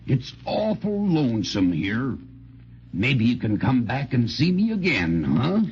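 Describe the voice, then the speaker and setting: An actor playing a kindly, folksy, gentle giant in a 1930s children's radio serial. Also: male voice, mid-range voice, wildly swooping pitch, measured pace. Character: friendly, chuckling, lonesome gentle giant. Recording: dull, hissy 1930s radio recording.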